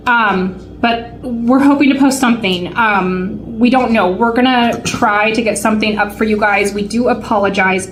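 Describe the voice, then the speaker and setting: A woman's voice: medium pitch, a little hiss or hum, rough recording. A woman in her late forties co-hosting a vlog, chatting casually with lots of fillers and restarts. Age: 40s. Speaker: vlog co-host. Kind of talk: casual chat to camera.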